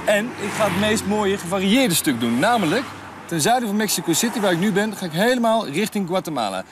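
A man's voice: sits high, fairly quick, noisy background. Upbeat man presenting a travel show. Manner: relaxed, enthusiastic, chatty. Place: outdoors on a mountain road and in a tent. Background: background music.

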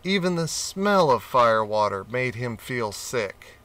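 A man reading a children's storybook aloud with gentle, expressive narration. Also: mid-range voice, slow. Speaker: audiobook reader.

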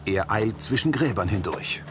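Low-voiced male narrator, lively intonation. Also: moderate pace, background noise. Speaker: audio description narrator. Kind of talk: scripted scene narration. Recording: music under the voice.

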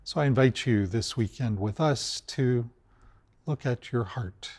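An older man, a priest, gives a calm, measured weekly homily to camera inside a large church. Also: medium pitch. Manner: calm, measured and gently pastoral.

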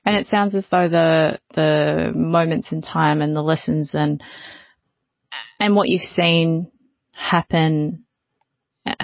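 A woman's voice: deep voice, steady tone, slow, creaky, long pauses, dry recording. A woman hosting a panel conversation, summarizing and affirming what others say before asking the next question. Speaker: panel host. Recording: dry, dull lo-fi audio.

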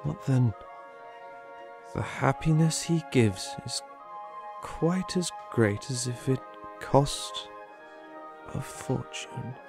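A man plays a humbled, earnest old miser in an audio drama. He speaks slowly in a creaky voice, with music behind him. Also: mid-range voice.

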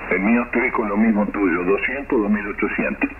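A man's voice: fairly low pitch, fairly quick. A middle-aged or older man with a Rioplatense Spanish accent, chatting casually as a ham radio operator over shortwave. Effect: shortwave radio transmission. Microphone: dual-capsule radio desk or hand microphone. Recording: muffled shortwave radio, loud static, distant.

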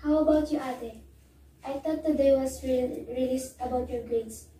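A woman's voice: high-pitched, fairly even intonation, normal speed, dark tone, close to an everyday voice. A young woman playing a supportive, concerned younger sister in an amateur melodrama, earnest and encouraging. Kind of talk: amateur one-act melodrama. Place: small living room, indoors. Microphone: far camera. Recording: echoey living room, distant mic, faint noise.

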